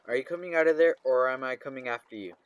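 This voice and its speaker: A man playing a gruff, impatient, bickering father in a radio drama. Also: mid-range voice, fast, dull audio, lightly acted. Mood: angry, shouting.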